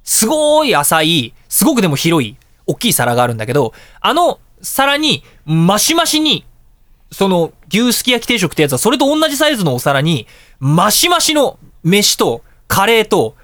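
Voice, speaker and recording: A man hosting a radio show, chatting casually and telling anecdotes in an animated way, with exclamations and sound-effect words. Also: high-pitched, moderate pace, dry recording, clean studio sound.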